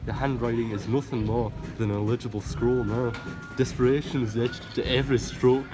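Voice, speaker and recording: A man's voice: mid-range voice, fairly even intonation, normal speed. Young man, casual and swearing, dramatic mock reading, music in the room. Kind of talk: casual joking and mock narration. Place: indoors, on set. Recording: music playing loudly behind.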